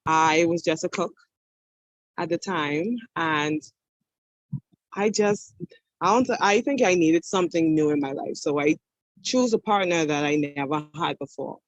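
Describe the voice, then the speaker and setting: Woman, 20s, podcast guest; slow, candid and halting; dry, lo-fi remote-call sound. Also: low-pitched, no room sound. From Bahamas. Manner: hesitant and candid, with many pauses. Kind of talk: personal storytelling in conversation.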